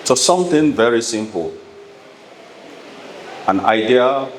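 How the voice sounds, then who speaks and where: Slow, deliberate Sierra Leonean man. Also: on the low side, slight background noise, low-quality recording. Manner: deliberate, formal. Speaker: keynote speaker. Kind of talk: measured public address.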